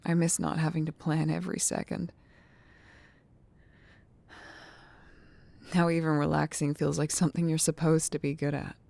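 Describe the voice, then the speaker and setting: Woman playing gentle old friend, soft asmr, lowish mellow voice w lots of fry, wistful n reflective. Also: level tone, dry recording. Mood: wistful, tender. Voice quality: soft, breathy near-whisper with creaky fry. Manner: tender, reflective, unhurried. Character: gentle, nostalgic female childhood friend in an old library. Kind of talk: ASMR roleplay, soft intimate talk.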